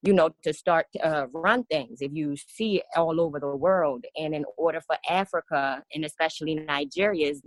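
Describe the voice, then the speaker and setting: Low-voiced young woman from Kenya. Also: animated, no room sound. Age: 20s. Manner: earnest, halting with many fillers. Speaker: guest. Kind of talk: radio interview, personal testimony.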